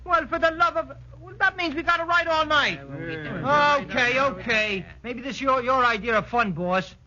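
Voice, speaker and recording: An actor playing a brash, wisecracking Brooklyn commando in 1940s radio style. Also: male voice, very high-pitched, moderate pace, bright, forceful tone, heavily acted. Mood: griping. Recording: muffled 1940s radio with crowd behind.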